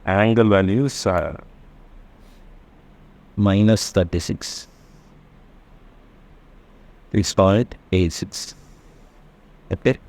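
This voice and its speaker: A man with a fairly low voice teaches a worked engineering problem step by step. His pace is very slow and halting, and he stops now and then to check that it is understood. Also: a little mellow. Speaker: electrical engineering lecturer. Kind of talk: step-by-step worked math tutorial.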